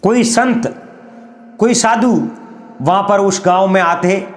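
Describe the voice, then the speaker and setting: A man narrating a folk story in an unhurried, drawn-out way, often calling his listeners friends. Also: sits high, reverberant.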